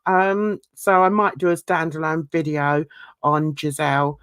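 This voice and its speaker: A woman's voice: deep voice, a little slow, a bit bright, smooth, dry recording. Chatty woman hosting a livestream, dry sound. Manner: chatty, rambling, frequent fillers. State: coughing and sneezing. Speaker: livestream host. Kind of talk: casual livestream chat.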